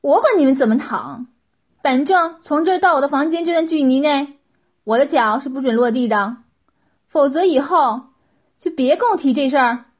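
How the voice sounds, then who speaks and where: A woman voicing an imperious, impatient young woman who gives loud orders in an audiobook story. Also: a little high, very animated, normal speed, pauses often, husky. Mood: cold, commanding. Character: imperious young woman, scolding master of servile followers.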